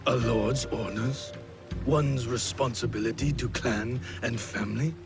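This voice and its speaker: An actor playing a weary, stern veteran lord in a video game, with a deliberate, formal, questioning way of talking. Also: male voice, mid-range voice, steady tone, vocal fry, over music. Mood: stern, probing. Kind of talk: video game cutscene acting.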